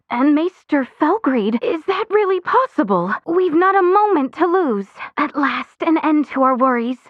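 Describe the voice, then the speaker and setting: High-voiced, courtly young noblewoman. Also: no room sound, muffled recording. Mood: urgent alarm, then relieved, polite. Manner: formal, archaic, courteous diction. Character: noble young woman of fantasy kingdom, earnest, gracious, resolute. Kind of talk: video game voice acting.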